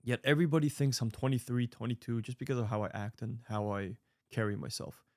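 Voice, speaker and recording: A man's voice: medium pitch, fairly even intonation, quick pace, darkish tone, no echo, studio quality. A man in his late twenties giving casual, earnest advice to viewers, rambling with fillers and restarts. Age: 20s. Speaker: online creator answering viewer mail.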